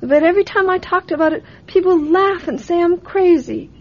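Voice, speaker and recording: A woman's voice: extremely high pitch, normal speed. A woman playing a trusting, curious nine-year-old girl in a radio drama, speaking earnestly in simple childlike questions. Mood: earnest, worried. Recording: muffled radio drama recording.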